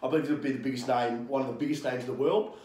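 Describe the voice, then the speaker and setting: A man's voice: medium pitch, steady tone, racing, a little mellow. An Australian man in his thirties, a strength coach and podcast guest, talking brashly with plenty of swearing. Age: 30s. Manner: brash, fired-up, swears freely. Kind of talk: podcast interview. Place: gym, indoors. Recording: echoey gym room.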